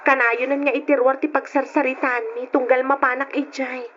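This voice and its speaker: High-pitched young Ilocano woman played by an actress. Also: level tone, fairly quick, natural voice. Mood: worried, plaintive. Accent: Ilocano Filipino. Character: lovestruck, anxious young working woman. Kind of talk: Filipino radio drama acting. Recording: muffled, noisy old radio drama.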